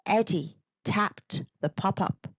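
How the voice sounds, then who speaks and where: A woman narrating a children's storybook in an expressive, deliberate read-aloud manner. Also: medium pitch, dry recording, dull audio. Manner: animated, deliberate.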